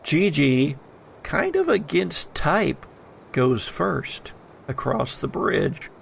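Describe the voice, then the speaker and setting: A man acting as game master, deliberately narrating scenes and explaining rules for a tabletop roleplaying game. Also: fairly high pitch, big pitch swings, creaky, slight background noise.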